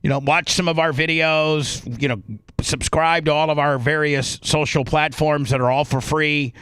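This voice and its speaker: An American man with a slightly high voice hosts talk radio in a close, dry sound. He rambles through an off-the-cuff sponsor pitch, repeating himself and punching out lists. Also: steady tone, normal speed, a bit bright, clear, resonant tone, no room sound. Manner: rambling, repetitive, emphatic listing. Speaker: radio show host.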